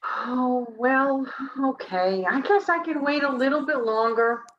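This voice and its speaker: A woman's voice: high-pitched, unhurried, dull audio. An actress playing a detective's long-suffering girlfriend in a campy 1940s-style noir radio play. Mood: relenting. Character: detective's impatient but easily won-over girlfriend.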